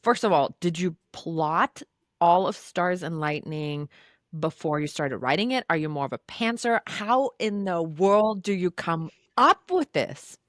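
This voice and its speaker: Lower-voiced woman podcast host. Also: lively intonation, unhurried, fairly bright tone, no echo, studio quality. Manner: enthusiastic, trails off mid-question. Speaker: podcast host, romance writer. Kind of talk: casual podcast chat.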